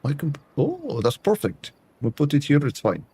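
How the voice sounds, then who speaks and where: A man's voice: on the high side, wildly swooping pitch, moderate pace, dark tone, slightly rough. A Canadian man streaming a game, chatting to his viewers in a put-on foreign accent that drops articles. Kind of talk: casual gameplay chat with viewers.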